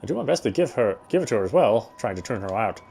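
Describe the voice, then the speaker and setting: A man's voice: on the low side, sing-song, fast, creaky, dark tone, slightly rough. A man reading visual novel narration aloud as he plays. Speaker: let's player reading a game aloud.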